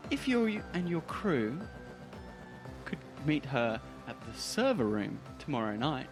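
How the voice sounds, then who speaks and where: A man playing a soft-spoken young male secretary, polite and businesslike, in a tabletop game. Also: medium pitch, big pitch swings, a little slow, over music. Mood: courteous, firm.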